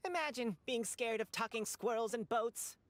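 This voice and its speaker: A woman's voice: a little high, normal speed, no room sound, close to an everyday voice. A woman voicing a condescending, teasing talking squirrel in an anime video game. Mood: mock pitying. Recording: music playing behind.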